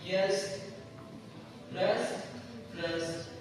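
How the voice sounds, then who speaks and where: Guy doing a solemn gentle heavenly spirit on stage, talks real slow, noisy echoey hall. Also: sits high, fairly even intonation, far from the mic. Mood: solemn, soothing. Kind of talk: amateur stage play acting.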